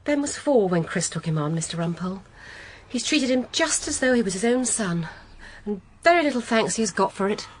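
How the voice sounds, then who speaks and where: A woman's voice: mid-range voice, animated, fairly quick, slight background noise, natural voice. An actress playing a middle-aged, middle-class English mother in a radio drama, earnest, devoted and complaining in a genteel way. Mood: doting, aggrieved.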